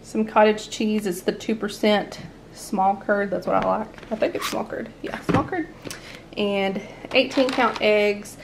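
A woman's voice: mid-range voice, measured pace, noisy background. A woman, chatting casually through a grocery haul at home, rambling and laughing often. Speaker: family vlogger. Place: home dining room, indoors.

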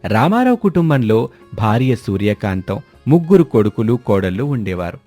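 A man's voice: mid-range voice, big pitch swings, normal speed, dark tone, dry recording, faint music behind. A man reading scripted narration for a family moral story, in the manner of a storyteller.

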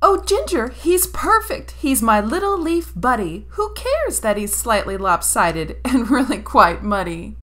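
A woman's voice: fairly high pitch, big pitch swings, a little slow, hardly any pauses, a bit bright, pitched above the performer's own voice. A woman voicing a giggly, thrilled little boy in a children's storybook, gushing and exclaiming. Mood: delighted.

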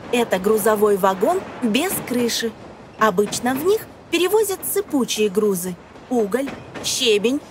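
A woman reading scripted educational narration for young children, phrasing deliberately and putting questions to the listener. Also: a little high, lively intonation, slight background noise. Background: music.

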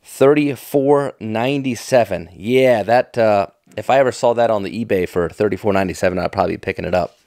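A man's voice: lowish voice, fairly quick, few pauses, a little mellow, no echo, clean studio sound. A middle-aged American man giving casual, off-the-cuff commentary in a folksy, enthusiastic, nostalgic manner. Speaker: fishing tackle vlogger.

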